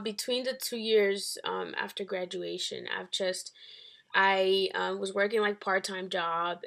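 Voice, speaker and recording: Bright, creaky-voiced young woman chatting. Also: mid-range voice. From Dominican Republic and New York. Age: 20s. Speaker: podcast guest. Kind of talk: casual chat.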